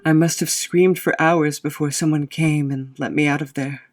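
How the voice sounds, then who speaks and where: A man performs a haunted, remorseful man telling his own tragic story in an audio drama. His voice sits a little high for a man, brooding and weighed down by guilt, with faint music underneath. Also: fairly even intonation, normal speed, close to an everyday voice. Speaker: narrator of own backstory. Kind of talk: dramatic scripted monologue.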